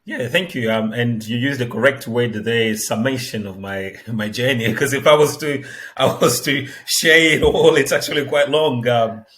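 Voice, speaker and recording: A man in his thirties from the Democratic Republic of the Congo, a guest telling his life story in a measured, reflective way. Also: mid-range voice, fairly bright tone. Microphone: headset.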